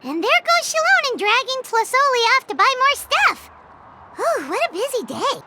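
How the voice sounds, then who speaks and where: A woman voicing a small, bubbly, talkative fairy companion in an anime game, with a childlike squeaky voice. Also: very animated, normal speed, very noisy. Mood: musing. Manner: perky, exclaiming, refers to self in third person. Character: small floating fairy girl companion, bubbly, talkative, third-person speaker. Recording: music playing behind the voice.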